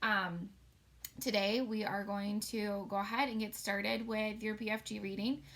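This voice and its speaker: A woman's voice: mid-range voice, steady tone, unhurried, fairly bright tone. A woman teacher giving a casual read-aloud, chatty and explanatory as she addresses young pupils. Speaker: elementary school teacher.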